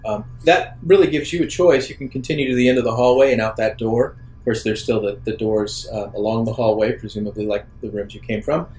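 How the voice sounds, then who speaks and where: A man acting as game master, improvising narration for a tabletop roleplaying game in halting, thoughtful phrases. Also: mid-range voice, a little mellow, soft background music.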